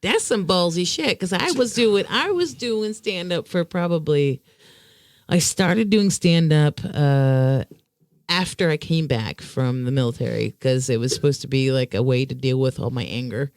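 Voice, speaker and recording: A woman's voice: deep voice, measured pace, darkish tone, no room sound, studio quality. A woman guest taking over a casual podcast chat, joking and brash, swearing freely. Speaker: guest interviewer.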